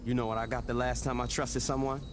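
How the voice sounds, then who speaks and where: A man voicing a distrustful, hard-bitten gang boss in a video game cutscene, sarcastic, gruff and curt. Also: medium pitch, flat delivery, quick pace, background noise, natural voice.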